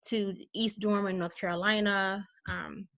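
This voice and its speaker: A woman from with a mid-range voice that drops into gravelly fry. She talks in a relaxed, rambling way with lots of fillers over a video call. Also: mid-range voice, a little slow. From Chicago, USA. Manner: chatty, many fillers, laughs easily. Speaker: guest, educator.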